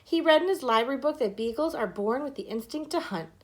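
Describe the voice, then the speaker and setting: A woman's voice: high-pitched, lively intonation, fairly quick. A woman reading a scripted children's story aloud as narrator. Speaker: storybook reader.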